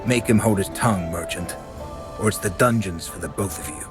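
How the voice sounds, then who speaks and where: An actor playing a stern, commanding inquisitor in a medieval video game, speaking in a measured, threatening, authoritative way. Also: male voice, low voice, natural voice. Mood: cold, menacing. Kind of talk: video game cutscene acting. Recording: dramatic music behind the voice.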